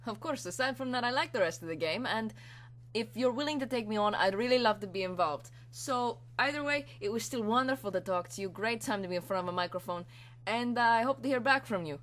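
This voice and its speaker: A woman's voice: fairly high pitch, expressive, fairly quick, a bit bright. A teenage girl voicing a cheerful, scatterbrained young Spanish man in an improvised anime monologue, with a put-on Spanish accent and a playful, rambling manner.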